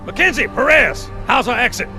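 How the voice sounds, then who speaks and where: An actor playing a wry veteran marine sergeant, gruff and clipped, in a game cutscene. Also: male voice, very high-pitched, animated, fairly quick, over music. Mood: gruff urgency. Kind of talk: video game cutscene acting.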